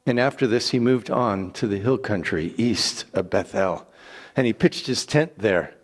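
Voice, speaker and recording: A man narrating scripture as a dramatic reading, with deliberate pauses and theatrical emphasis. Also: medium pitch. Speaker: scripture reader.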